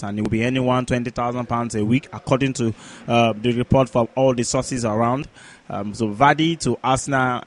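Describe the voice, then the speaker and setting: A man co-hosting a sports talk show, running through scores and news in a halting way with repeated words. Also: medium pitch. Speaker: co-host, sports pundit.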